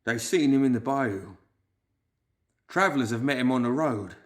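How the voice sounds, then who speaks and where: A man putting on a jittery male clerk who whimpers his words out in a raised, strained voice, louder than the storyteller's own telling. He sounds shaken and frightened in a storybook reading. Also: mid-range voice, expressive, normal speed, close to an everyday voice. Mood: fearful. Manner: whimpering, wailing.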